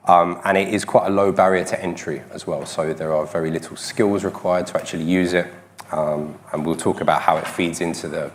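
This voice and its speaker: A man giving a technical conference talk, a bit congested from a cold, with a self-deprecating, filler-heavy delivery. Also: low-pitched. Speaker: conference speaker.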